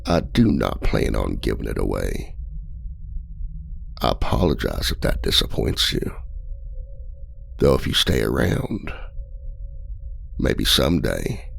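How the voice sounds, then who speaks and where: A man's voice: rumbling low, sing-song, slow, gravelly, long pauses, fairly bright tone, breathy edge, full character voice. An actor playing a charming, manipulative male outlaw and escape artist, with a flirty, teasing and smugly condescending manner in an ASMR roleplay.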